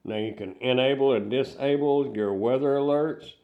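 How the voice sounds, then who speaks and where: A man's voice: mid-range voice, normal speed, lo-fi sound. An older man giving a casual hands-on product review, explaining things step by step in plain words.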